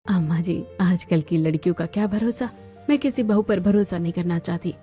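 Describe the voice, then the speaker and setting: A woman's voice: on the low side, normal speed, close to an everyday voice. A woman voicing a determined middle-aged Indian mother in a cartoon story. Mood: firm, insistent. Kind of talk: Hindi cartoon story dialogue. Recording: dull lo-fi audio with music.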